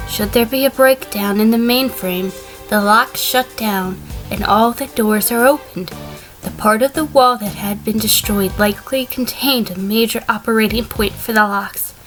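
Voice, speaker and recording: A woman playing a cool, guarded sheep defendant testifying deliberately in a fan audio drama. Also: fairly high pitch, fairly even intonation, fairly bright tone, faint music behind, natural voice. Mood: composed, recounting. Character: female sheep defendant, cool, guarded former assistant mayor.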